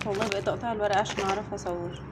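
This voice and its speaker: A woman's voice: mid-range voice, steady tone, racing, heavy background noise. A woman with an Egyptian Arabic accent chatting casually while unboxing for a family vlog, sounding a little weary. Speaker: family vlogger.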